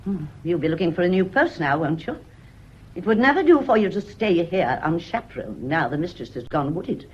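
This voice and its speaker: A woman's voice: fairly low pitch, big pitch swings, a little fast, breathy edge, muffled recording. A woman playing a devoted, nosy housemaid in a period radio drama, deferential yet pointed and probing. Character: devoted, nosy, grieving housemaid, prim and sharp-tongued.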